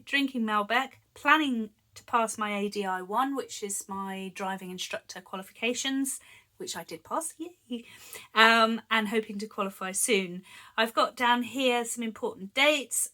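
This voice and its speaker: A woman's voice: medium pitch, bright, forceful tone. A woman in her fifties, slightly wheezy from a chest infection, chatting casually and giggling as she shows her planners. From United Kingdom. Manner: chatty, giggly, with many ums. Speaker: planner vlogger. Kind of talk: casual show-and-tell chat.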